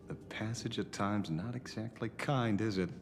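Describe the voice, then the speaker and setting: Deep, slow, weary older detective. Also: male voice, animated, creaky, heavily acted. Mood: wry, resigned. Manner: grave, deliberate. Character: weary older male detective bent on revenge. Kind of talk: dramatic video game voice acting. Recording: music behind the voice.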